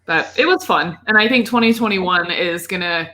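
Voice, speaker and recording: A woman's voice: lowish voice, normal speed, bright, forceful tone. A woman co-hosting a casual gaming podcast, talking in an enthusiastic, rambling way. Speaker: podcast co-host.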